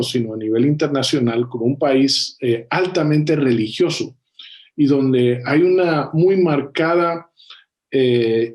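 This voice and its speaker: A man with a mid-range voice talks as a panel guest in an analytical discussion. He speaks thoughtfully, filling pauses and correcting himself as he goes. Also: mid-range voice, a little mellow. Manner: thoughtful, many filler pauses and self-corrections.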